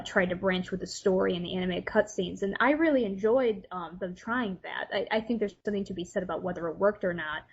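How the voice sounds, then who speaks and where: A woman's voice: mid-range voice, husky. A woman guest on a podcast giving opinions casually, in a hesitant, thoughtful way, on lo-fi audio.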